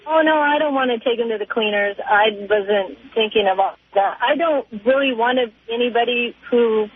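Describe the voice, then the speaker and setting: Tinny phone line, lady caller with a high husky voice, rambles and hesitates. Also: normal speed. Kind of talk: casual conversational phone call. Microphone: telephone.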